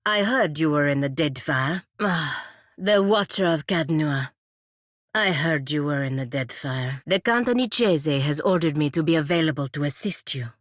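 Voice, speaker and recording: An actress playing a proud, stern godlike warrior woman in a fantasy video game, speaking in formal, measured phrases. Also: low-pitched, animated, no echo, clean studio sound. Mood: formal, reserved.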